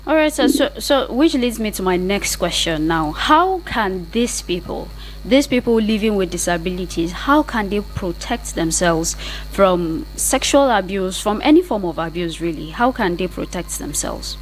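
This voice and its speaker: A woman's voice: mid-range voice, lively intonation, moderate pace, a little hiss or hum, poor audio. A woman with a Nigerian accent hosting a radio interview and putting questions to a guest. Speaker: radio host. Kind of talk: interview questioning with frequent acknowledgements. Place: radio studio.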